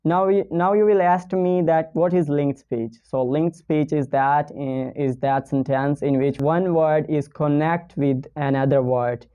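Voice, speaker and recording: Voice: mid-range voice, fairly even intonation, unhurried, hardly any pauses, mellow, clear, resonant tone. A teacher with a Pakistani accent giving a casual pronunciation lesson in non-native English, repeating example sentences and checking in with "okay?".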